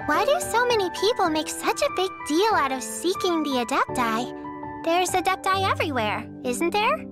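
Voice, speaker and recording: A little girl adventurer from a video game, very high and lively in pitch. She sounds earnest, helpful and childlike, with a sing-song cheer. Prominent music plays behind her. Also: moderate pace. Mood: puzzled, light. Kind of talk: scripted game character trailer.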